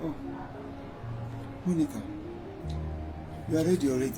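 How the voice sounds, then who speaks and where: A man's voice: a little high, moderate pace, over music, natural voice. An actor playing an injured, broke husband, pleading with his wife. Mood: gentle. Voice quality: pleading and wounded.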